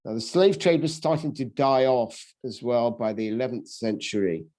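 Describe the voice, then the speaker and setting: A man giving an unscripted history talk, halting often with ums and restarts as he goes. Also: medium pitch, expressive, darkish tone. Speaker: history lecturer. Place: lives in England.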